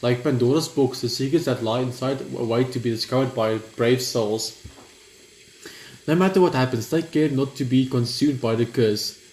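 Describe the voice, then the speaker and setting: A young man giving casual gameplay commentary and reading aloud, halting and stumbling over words as he goes. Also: mid-range voice, darkish tone. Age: young adult. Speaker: gaming video host. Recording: roomy echo, clear background noise.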